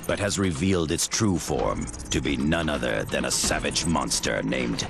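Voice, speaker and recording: A grave narrator of a video game war saga, with an extremely deep, gravelly voice. His scripted telling is dramatic, set over loud music. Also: animated, moderate pace, exaggerated voice.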